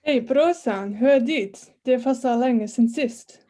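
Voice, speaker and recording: Woman as huge jovial metal star, storyteller, soft mellow tone, higher pitch. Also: measured pace. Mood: hearty. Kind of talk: informal script table read.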